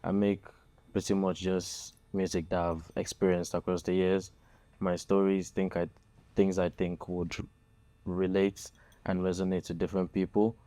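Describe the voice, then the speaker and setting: A man's voice: deep voice, flat delivery, moderate pace, warm tone, no echo. A young man from Nigeria, a musician being interviewed, talking casually and hesitantly with many fillers. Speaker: guest musician.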